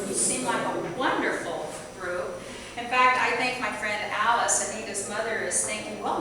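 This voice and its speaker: Loud chatty woman in an echoey hall. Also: medium pitch. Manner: loud, projecting voice; chatty, rambling, self-deprecating. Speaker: orphanage founder giving a guest talk. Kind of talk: informal speech to a club meeting. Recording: echoey hall from far off, audience.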